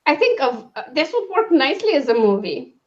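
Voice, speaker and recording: Woman, high voice, animated and self-interrupting casual book-chat co-host, slightly dull lo-fi sound. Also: brisk.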